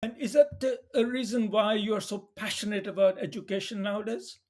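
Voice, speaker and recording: A man with a high-pitched voice hosts a podcast interview. He asks his questions gently and pauses often on small hesitations. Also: fairly even intonation. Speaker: podcast host.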